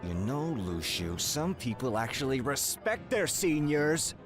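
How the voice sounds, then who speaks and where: A man playing a breezy, teasing fantasy game character with a playful, mocking, drawn-out manner in a fan dub. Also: mid-range voice, big pitch swings, music in the background.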